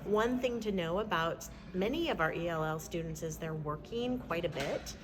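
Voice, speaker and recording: A woman teacher speaking casually in an interview, warm and rambling with fillers. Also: lowish voice, expressive, normal speed. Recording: noisy background.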